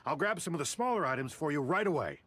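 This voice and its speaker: Jittery, high-pitched male warehouse clerk. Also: big pitch swings, fast talker, a bit bright, husky, full character voice. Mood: eager. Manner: flustered, stammering. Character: nervous, eager-to-please male dockside warehouse clerk. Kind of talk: video game character acting.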